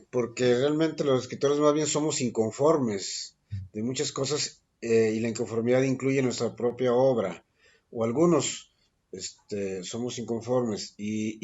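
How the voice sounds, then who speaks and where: A man, a guest poet answering interview questions thoughtfully, pausing often with fillers and restarting his sentences. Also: mid-range voice, a little mellow. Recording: dull lo-fi recording.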